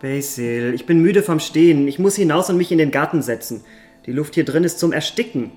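A young actor playing an innocent, impressionable and petulant young gentleman in a German radio play, earnest and capricious. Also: on the high side, animated, brisk, soft background music, lightly acted.

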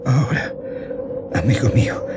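A man's voice: normal speed, over loud music, lightly acted. An actor with a Spain accent playing a grave, commanding man in charge, authoritative and dramatic, in a radio drama. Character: commanding, grave man overseeing a vampire's exhumation. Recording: radio drama with loud atmospheric noise.

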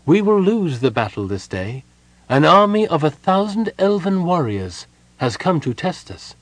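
A man voicing a self-assured, fanatical female war leader in an audiobook, cool, deliberate and solemn. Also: fairly high pitch, big pitch swings, no room sound, voice pushed up.

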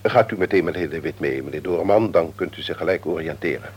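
An actor playing a formal, authoritative company director in a radio play, speaking in stiff, measured official phrases. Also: male voice, sits low, slightly rough. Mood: brisk, commanding. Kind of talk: Dutch radio play acting. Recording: dull lo-fi old radio recording.

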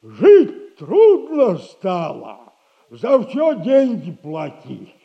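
An actor playing a sly, sardonic old retired soldier who lends money, in a 1960s radio play. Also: male voice, high-pitched, wildly swooping pitch, muffled recording, heavily acted. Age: older. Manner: slow, teasing, sardonic advice.

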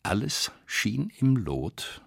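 A very low, crackling voice telling a literary tale for radio. He is wry and dramatic, with big swings of intonation and a husky grain. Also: very animated, extremely slow, no room sound, clean studio sound, exaggerated voice. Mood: reflective. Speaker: actor reading narration and letters.

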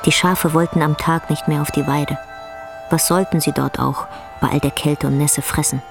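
A woman performing as the grave, intense narrator of a bleak literary radio drama, telling it in a measured way. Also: deep voice, steady tone, gravelly. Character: female storyteller of a bleak rural tale, grave and intense. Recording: music under the voice.

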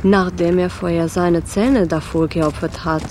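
A woman's voice: fairly low pitch, steady tone, a little slow, background noise, lightly acted. An actress playing a grave, insistent woman in a German radio drama.

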